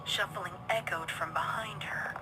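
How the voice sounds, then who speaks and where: A woman's voice: on the low side, measured pace, background noise. A woman reading scripted horror fiction as a dramatic narrator.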